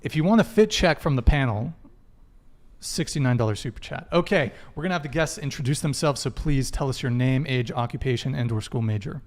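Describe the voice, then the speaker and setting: A man's voice: mid-range voice, fairly quick, darkish tone. A young man hosting a live dating podcast, loose and joking as he stumbles through announcements. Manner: loose, joking, stumbles over tech mishaps. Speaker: podcast host. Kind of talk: live stream announcements, casual.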